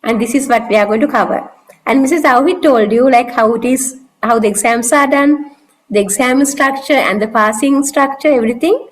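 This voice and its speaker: A woman with a fairly high voice walks through a course outline for an online class. She talks in a loose, conversational way and fills gaps with casual asides. She comes through over a video call. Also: moderate pace, rough recording. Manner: conversational, loose with fillers like "you know, like". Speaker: course instructor. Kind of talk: online course orientation talk.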